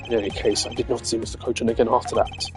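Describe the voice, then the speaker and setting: A man's voice: mid-range voice, flat delivery, rapid-fire, music in the background, natural voice. A man voicing an arrogant old ambassador villain in a video game, pompous and condescending. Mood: haughty, impatient. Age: older.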